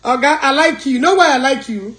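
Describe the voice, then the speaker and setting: A woman's voice: high-pitched, expressive, a little fast, a bit bright. A Nigerian woman hosting a live call-in chat, scolding callers and mixing English with Igbo. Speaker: live show host.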